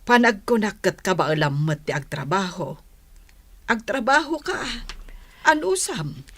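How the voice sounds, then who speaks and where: A woman's voice: medium pitch, very animated, a little slow, fairly bright tone, heavily acted. A woman playing a patient, caring older mother in an Ilocano radio drama, with a soothing, emotional delivery and an Ilocano accent. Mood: gently urging. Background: music.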